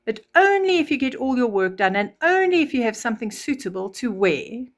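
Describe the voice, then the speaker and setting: Cold-hearted, commanding storybook stepmother, acted by a female reader, raised pitch, sly and imperious. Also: very animated, brisk. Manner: commanding, emphatic.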